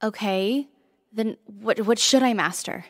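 An actress playing a stubborn, self-doubting young starship ensign in an audio drama, with a casual, blunt, questioning way of talking. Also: female voice, mid-range voice, unhurried, dry recording, studio quality, close to an everyday voice. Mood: doubtful.

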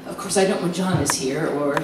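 An actress performing a theatrical monologue as a lonely, nervous, confined wife slipping into obsessive madness, halting and dramatic with drawn-out words. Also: female voice, sits low, close to an everyday voice. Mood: dreamy, preoccupied. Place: live performance in a cafe venue. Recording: very echoey room, distant mic, noisy.

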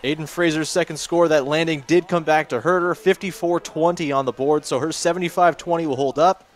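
A man calls a freestyle ski event live, his voice sitting a bit high for a man. He talks in a steady, informative way, reading out scores and naming the tricks as they land. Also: a little fast. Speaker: play-by-play commentator. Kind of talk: live sports commentary.

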